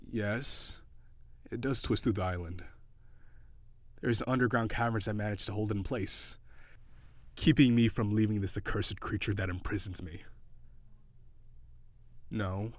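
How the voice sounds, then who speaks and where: A man's voice: fairly low pitch, steady tone, a little slow, long pauses, no room sound. A man voicing a haughty, weary prince turned gigantic sea monster, with formal, old-fashioned, prissy diction in an audio roleplay. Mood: wistful.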